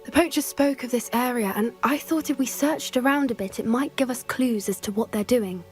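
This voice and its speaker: An actress playing an earnest young witch student who cares for creatures and talks quickly and confidingly. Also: fairly high pitch, soft background music, natural voice. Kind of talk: video game voice acting.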